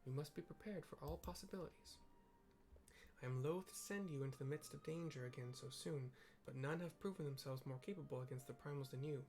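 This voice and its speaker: A man's voice: fairly high pitch, a little fast, a little mellow, faint music behind, lightly acted. A man voicing an earnest, dutiful female leader in a fantasy game, speaking in formal, old-fashioned phrases with careful diction. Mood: solemn, resolute.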